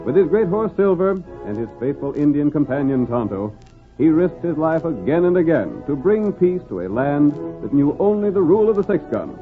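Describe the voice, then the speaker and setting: A man's voice: fairly high pitch, big pitch swings, moderate pace, phone-quality sound. An American man narrating a western radio drama in a grand, emphatic, theatrical 1940s announcing style. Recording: scratchy band-limited old radio broadcast with music.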